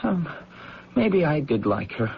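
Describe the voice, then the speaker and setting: A man playing an anguished, guilt-ridden brother in an old-time radio drama, agitated and halting as he insists and repeats himself. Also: a little high, big pitch swings, gravelly, husky, heavily acted. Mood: agitated, self-doubting. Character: anguished, guilt-ridden brother convinced his temper curses people. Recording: muffled, narrow-band old radio recording.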